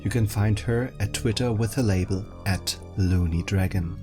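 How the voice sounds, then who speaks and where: A man's voice: fairly low pitch, normal speed. A man reading scripted closing credits as an announcer. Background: music.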